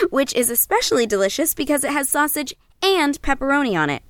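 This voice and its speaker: A woman's voice: high voice, sing-song, brisk, a bit bright, no room sound. An actress playing a headstrong, flippant American film student in her early twenties in an audio drama. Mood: playful. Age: early 20s. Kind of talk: audio drama acting, documentary narration and casual banter.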